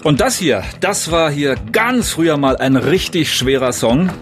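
A man hosting a radio interview, joking and quick with his questions. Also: fairly high pitch, lively intonation, faint music behind. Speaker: radio host.

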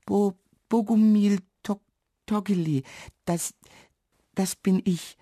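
A woman's voice: medium pitch, takes it slowly, warm tone, slightly rough, no room sound, clean studio sound, pitched above the performer's own voice. A woman voicing a tiny, timid male dwarf for a children's storybook, stammering and whispery. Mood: nervous, shy.

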